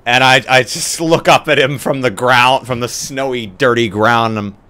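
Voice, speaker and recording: A man's voice: mid-range voice, steady tone, moderate pace, bright, forceful tone. A man chatting casually as a player in a tabletop roleplaying game, hesitantly working out his actions aloud. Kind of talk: casual reactive game chat. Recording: faintly noisy live stream.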